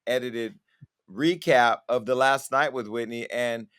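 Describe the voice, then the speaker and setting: A man hosts a talk show and tells stories in a chatty way, full of quick asides. His pitch sits in the ordinary middle range. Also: fairly bright tone, clean tone. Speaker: host. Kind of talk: casual talk-show chat.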